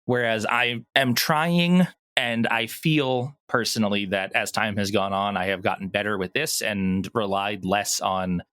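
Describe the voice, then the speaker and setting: A man's voice: on the low side, steady tone, measured pace, few pauses, bright, forceful tone, no echo. A man speaking casually as an interview guest, explaining his creative work and thinking aloud as he goes. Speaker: interview guest, audio drama producer.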